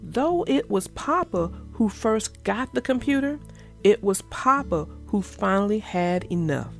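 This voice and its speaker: A woman reading a children's storybook aloud as narrator, in an expressive storytelling manner. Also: mid-range voice, unhurried, gravelly, faint music behind. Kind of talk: scripted storybook read-aloud.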